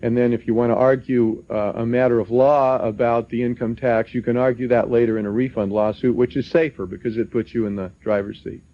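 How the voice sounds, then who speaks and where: A man's voice: mid-range voice, steady tone, a little fast, few pauses. A man speaking as a seminar panelist, giving plain-spoken, cautionary advice in an emphatic way. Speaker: legal researcher on a seminar panel. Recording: muffled lo-fi broadcast recording.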